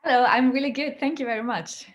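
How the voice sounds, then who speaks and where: Woman from Austria, German first language, comedian guest, lively storytelling, laughs often. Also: sits high, fast talker, lo-fi sound. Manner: chatty, laughs often while telling stories. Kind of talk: casual interview chat.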